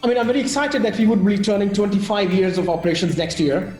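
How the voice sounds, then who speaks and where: A man's voice: sits high, animated, quick pace, a little mellow, reverberant, soft background music. Eager man full of fillers. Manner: enthusiastic, many fillers. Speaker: travel company founder. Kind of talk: video call interview.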